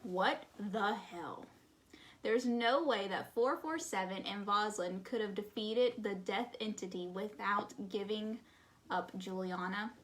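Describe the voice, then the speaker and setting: A woman's voice: medium pitch, slow, fairly bright tone. A woman co-hosting a casual livestream, reading fan questions aloud and chiming in informally.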